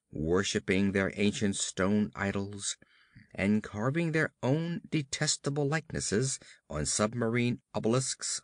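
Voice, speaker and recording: Male audiobook narrator for gothic horror: theatrical, highly animated intonation, drawn-out emphasis, very dry sound. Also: no echo. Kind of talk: dramatic first-person horror story reading.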